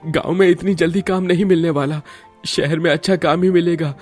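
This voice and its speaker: A man acts out a worried young family man in a cartoon story. His voice sits a little high, and he speaks in an earnest, plaintive way. Music plays behind him. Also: steady tone, a little fast, a little mellow, close to an everyday voice. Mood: troubled. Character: worried young husband and son, struggling food-cart seller. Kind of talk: Hindi cartoon story dialogue.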